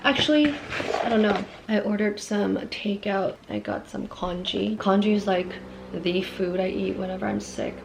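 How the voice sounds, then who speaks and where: A woman who is sick and hoarse with a scratchy throat, vlogging quietly from her room at home and often dropping to a whisper. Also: lively intonation, moderate pace. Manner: quiet and low-key, slipping into whispers.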